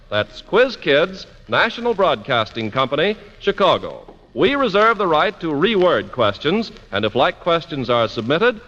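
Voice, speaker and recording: A man's voice: high-pitched, a bit bright. A man announcing on 1940s radio with an emphatic, polished sales delivery. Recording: muffled old radio with hall reverb.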